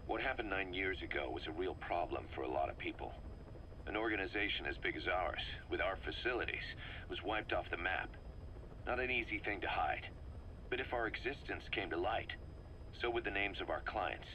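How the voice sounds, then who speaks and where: A man's voice: expressive, moderate pace, sharp tone. An actor voicing a fervent, embittered mercenary second-in-command in a video game, briefing his boss in an emphatic, persuasive way. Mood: grave, bitter. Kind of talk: video game dramatic dialogue. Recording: noisy cassette briefing with background rumble.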